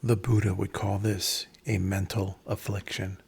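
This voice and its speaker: A man leading a guided meditation in a measured, instructional manner, pausing between phrases, with a breathy, husky voice. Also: low-pitched, level tone, a little mellow.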